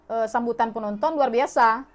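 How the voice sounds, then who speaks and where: A woman's voice: fairly high pitch, normal speed. A middle-aged woman from West Sumatra, chatting casually as a podcast guest and often repeating her phrases. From West Sumatra, Indonesia. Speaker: podcast guest, online content creator.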